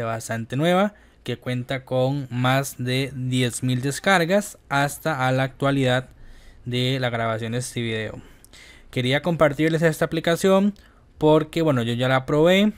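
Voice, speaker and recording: Male tutorial host, mid-pitched, casual and explanatory, with halting delivery. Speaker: YouTube host. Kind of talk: casual app tutorial narration over screen recording.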